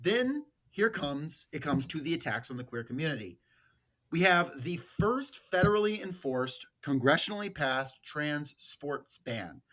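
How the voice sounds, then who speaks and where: A man's voice: on the high side, expressive, a little slow, a bit bright, rough recording. A man with an American accent giving political commentary as a podcast host, partly reading from notes.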